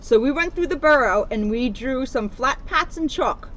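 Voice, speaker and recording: A woman's voice: high-pitched, expressive, normal speed, a bit bright, lo-fi sound, slight background noise. A woman police officer speaking to her community in a storybook read-aloud and informal style. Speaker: police officer reading a children's book to the community.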